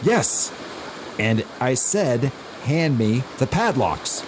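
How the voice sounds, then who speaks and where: A man's voice: medium pitch, big pitch swings, extremely slow, background noise. A man playing a desperate, defiant young horror hero in an amateur radio play, urgent and strained. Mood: tense, urgent.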